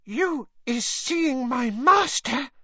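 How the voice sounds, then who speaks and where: A woman voicing a distraught, indignant female house elf in a storybook, with a shrill squeak, a wailing delivery and broken servile grammar. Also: animated, extremely slow, sharp tone, slightly rough, no room sound, muffled recording, heavily acted. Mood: anxious.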